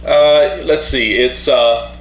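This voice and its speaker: A man giving a casual, unscripted product demonstration in a halting way, repeating and restarting his phrases. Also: medium pitch, steady tone. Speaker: product reviewer demonstrating a two-way radio. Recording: roomy echo, faint background hum.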